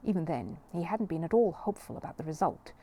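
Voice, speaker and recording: Mellow, lowish woman reading a story. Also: animated, fast talker, a little hiss or hum. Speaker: storybook reader. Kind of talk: expressive scripted children's story narration.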